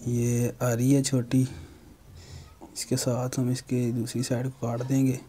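A man's voice: medium pitch, completely flat delivery, measured pace, mellow, slight background noise. A young man, giving step-by-step how-to narration in a plain, instructional manner. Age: young adult. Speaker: how-to video host.

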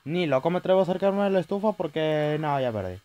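A young man with a Chilean accent commentating a horror game, yelling at jump scares and rambling between them. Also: fairly high pitch, lively intonation, fast, dull audio. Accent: Chilean Spanish. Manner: jumpy, shouting at scares, rambling asides. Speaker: gaming youtuber. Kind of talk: let's play commentary.

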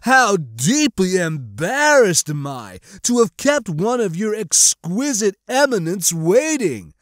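A man voicing an arachnid alien lord in an audiobook, florid, oily and theatrically humble in his flattery. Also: sits high, slow, dry recording, studio quality, pitched above the performer's own voice, full character voice. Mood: gushing flattery.

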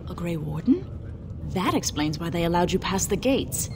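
Brief: a proud, solemn dwarf noblewoman in a fantasy game; lowish voice, measured delivery. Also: big pitch swings, background noise. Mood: grave. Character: proud young female dwarf noblewoman, grave. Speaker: game character. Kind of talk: video game voice acting. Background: game music.

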